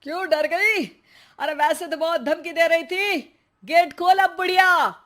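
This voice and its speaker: A brash, bullying house thief from a cartoon story taunts and jeers, voiced by a woman. The voice sits high and the delivery is unhurried and mocking. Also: a bit bright. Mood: taunting. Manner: taunting, mocking, then whimpering in pain. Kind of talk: cartoon voice acting.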